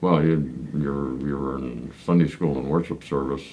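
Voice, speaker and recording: A man in his nineties from Pennsylvania, recalling his church childhood in slow, halting answers full of hesitant fillers. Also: rumbling low, steady tone, mellow. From Pennsylvania, United States. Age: 90s. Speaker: elder congregant interviewee. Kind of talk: reminiscing interview answers.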